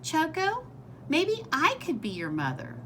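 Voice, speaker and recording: A woman reading a storybook as a kindly, motherly bear who speaks in a gentle, soothing way. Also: high-pitched, huge pitch swings, unhurried, slight background noise, voice pushed up, heavily acted. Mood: gently amused.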